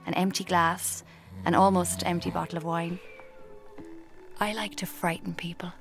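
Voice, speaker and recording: A young woman with an Irish accent acting a morbid, cheeky aspiring writer in a radio drama, narrating in a hushed, deliberate way. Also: fairly low pitch, fairly even intonation, gravelly, over loud music. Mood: morbid, brooding. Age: young adult.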